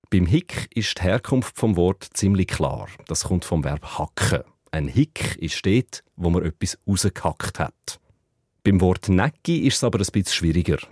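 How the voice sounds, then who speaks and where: A man with a Zurich Swiss German accent, a dialect expert explaining a word's meaning in a measured radio segment. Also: deep voice, a little mellow, dry recording, studio quality. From Zurich, Switzerland.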